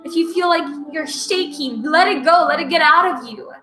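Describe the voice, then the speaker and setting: A woman leading a guided breathwork session, coaching slowly and soothingly, with repeated prompts and audible sighing breaths. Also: very high voice. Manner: slow, soothing, repetitive coaching with loud breathing sighs. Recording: music playing behind.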